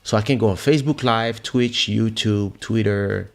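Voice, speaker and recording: Male stream host, low gravelly voice, casual and pause-filled, muttering as he thinks aloud. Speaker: livestream host and musician. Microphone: close podcast microphone.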